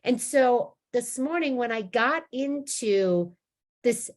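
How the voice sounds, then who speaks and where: A hoarse woman teaching about spiritual awakening in a casual talk, rambling with many restarts and slowing down to stress key words. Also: on the high side, animated.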